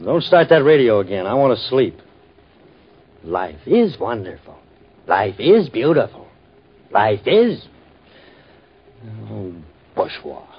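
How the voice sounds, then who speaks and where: A man's voice: on the low side, very animated, normal speed, slightly rough, full character voice. An actor playing a grumpy, greedy everyman in a 1940s radio play, with blustering, demanding speech. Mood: grumbling. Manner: blustering and demanding, then drowsy. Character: grumpy, greedy middle-aged everyman dreaming of pie. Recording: muffled old radio recording, faint hiss.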